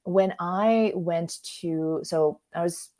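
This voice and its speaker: Female career coach from Russia, Russian native, slightly low voice, informal conversational workshop delivery with self-interruptions. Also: takes it slowly, mellow. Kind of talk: conversational online workshop presentation.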